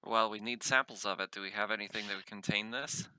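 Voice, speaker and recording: A man with a low, very bright and forceful voice chats as a player in a tabletop game. His delivery is casual, and the recording is dry. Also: fairly even intonation, fast, smooth, no room sound, low-quality recording.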